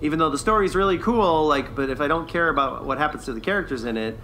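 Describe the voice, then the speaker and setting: A man with a bright, slightly high voice chats as a podcast co-host. He is casual, enthusiastic and quick to agree. The recording carries audible background noise. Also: rough recording. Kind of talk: casual review chat.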